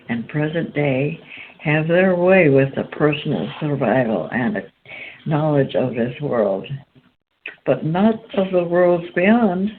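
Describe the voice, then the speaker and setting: A woman host reading aloud from a spiritual text in a halting, deliberate way. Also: low voice. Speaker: host and reader. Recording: tinny phone-line sound, background noise.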